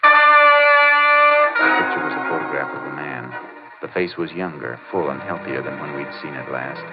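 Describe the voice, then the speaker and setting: An American man acting a steady, laconic Texas Ranger in an old radio drama, courteous but determined, with clipped matter-of-fact delivery. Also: very deep voice, moderate pace. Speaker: lead actor and narrator. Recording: muffled old radio recording with music.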